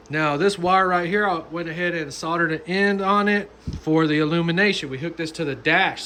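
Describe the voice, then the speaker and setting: Casting: male DIY video host, slightly high voice, colloquial and plain-spoken, hands-on explainer delivery, faint noise behind. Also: a bit bright. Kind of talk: casual how-to narration. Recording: clearly noisy background.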